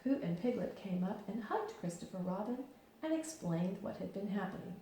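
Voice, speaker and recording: Lively woman reading a story aloud. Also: medium pitch, moderate pace, slight background noise. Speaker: teacher. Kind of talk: children's read-aloud narration. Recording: very echoey room.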